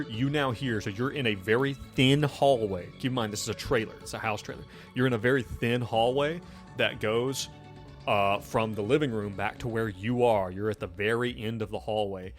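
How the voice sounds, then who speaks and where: A man running a tabletop roleplaying game, improvising narration and explaining scenes and rules in a conversational way. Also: on the low side. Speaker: game master. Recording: music playing faintly behind.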